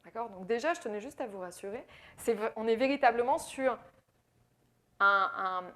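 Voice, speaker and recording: A woman from France with a French accent delivers a business presentation. Her voice sits a little higher than most. She explains step by step, with frequent fillers and repeated words as she thinks aloud. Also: normal speed, a bit bright. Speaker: AI consultant speaker. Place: presentation in Quebec.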